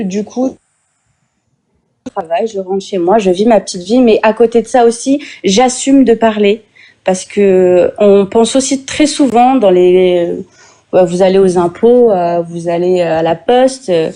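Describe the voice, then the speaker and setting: A French-born woman being interviewed, defending her views earnestly and haltingly with many fillers. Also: medium pitch, warm tone. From France. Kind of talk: defensive personal account.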